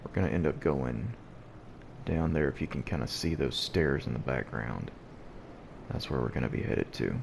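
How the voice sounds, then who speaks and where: Very deep, gravelly, hushed male gamer. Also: lively intonation, moderate pace. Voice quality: deep and low. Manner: quiet, hushed so as not to wake the house. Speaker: let's play host. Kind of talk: gameplay commentary. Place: at home early in the morning. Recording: noisy background hiss.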